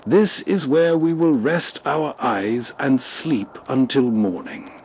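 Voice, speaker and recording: A man's voice: mid-range voice, animated, slow, darkish tone, a little hiss or hum. A man hosting with scripted, cozy bedtime narration. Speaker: host.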